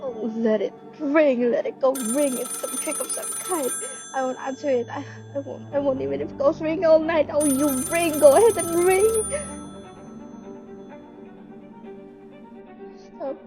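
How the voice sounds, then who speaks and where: A woman's voice: high voice, normal speed, mellow. A young woman playing a nervous, demanding invalid woman with plaintive, imperious outbursts in an amateur radio play. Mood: anxious. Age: young adult. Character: frantic, demanding invalid woman alone in bed. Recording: dramatic music laid under the voice.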